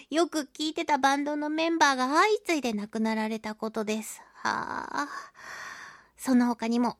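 A woman's voice: sits high, a bit bright, dry recording, clean studio sound. A woman hosting a casual radio talk show, chatting expressively and playfully with listeners as she reads their mail. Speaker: radio show host and voice actress.

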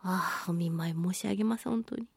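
A woman hosting a casual solo radio talk show, speaking in an expressive, self-deprecating way with drawn-out exclamations. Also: fairly low pitch, vocal fry, husky, no echo, clean studio sound. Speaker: voice actress and singing event MC.

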